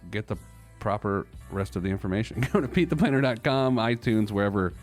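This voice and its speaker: A man's voice: on the low side, animated, a little fast, faint music behind. A man hosting a podcast in a comedic monologue, with a wry, jokey, mock-exasperated manner. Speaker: podcast host.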